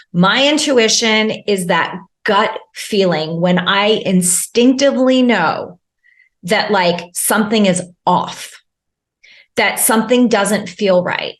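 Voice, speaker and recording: Animated woman coach explaining on a podcast. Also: medium pitch. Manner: animated explaining with fillers and repeated words. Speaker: intuitive life and business coach, guest. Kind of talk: podcast interview.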